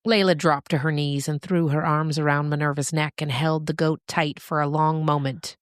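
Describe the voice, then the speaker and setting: A woman hosting and narrating a story podcast in an expressive storybook style. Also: low-pitched, moderate pace, dry recording, studio quality.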